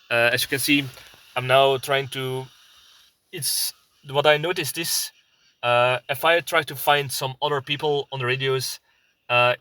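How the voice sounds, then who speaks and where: Male vlogger, Belgian accent, mid-pitched sharp bright voice, casual and hesitant delivery, often searching for words. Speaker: prepper video blogger. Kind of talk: casual rambling vlog talk. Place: inside a parked car.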